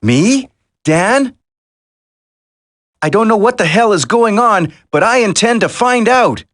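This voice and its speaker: An actor playing an earnest, loyal young commando hero in a dramatic video game, with emphatic delivery. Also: male voice, high voice, expressive, slow pace, fairly bright tone, no room sound, clean studio sound, exaggerated voice. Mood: disbelieving, then determined.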